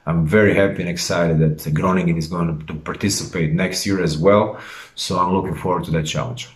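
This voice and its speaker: Man, late 30s, low voice, formal head coach addressing fans in a roomy, echoing space. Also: fairly even intonation, a little fast. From Croatia. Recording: roomy echo.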